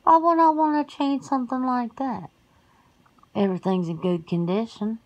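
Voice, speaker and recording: A woman voicing a folksy, blunt, centuries-old werewolf homebody in an ASMR roleplay, suspicious and quick to exclaim. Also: a little high, fairly even intonation, lo-fi sound, pitched above the performer's own voice. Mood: indignant, sassy.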